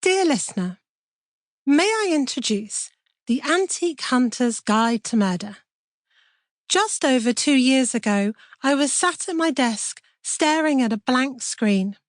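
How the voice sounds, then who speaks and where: A woman's voice: high voice, animated, unhurried, dry recording, studio quality. A middle-aged woman with a British accent reading a scripted personal introduction as an author. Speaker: author introducing own audiobook.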